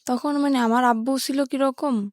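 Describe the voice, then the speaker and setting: A woman's voice: high-pitched, fairly even intonation, normal speed, darkish tone, dry recording, clean studio sound. A woman from southern Bangladesh telling her personal story as a guest, plainly and colloquially, step by step. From Patuakhali, southern Bangladesh.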